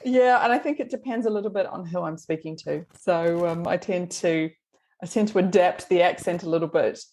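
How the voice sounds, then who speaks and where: A woman in her forties, raised in New Zealand, speaks with a Kiwi accent softened by years abroad. Her voice sits a little low for a woman. She talks in long, chatty runs, padded with ums and quick laughs. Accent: mixed New Zealand accent. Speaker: guest, marketing consultant. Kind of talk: conversational interview.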